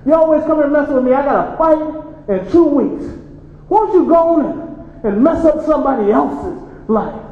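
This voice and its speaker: A man's voice: very high voice, animated. A man playing a hot-tempered young boxer. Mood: angry. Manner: quick, indignant outbursts. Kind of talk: stage play comedy-drama. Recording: echoey, dull live hall recording.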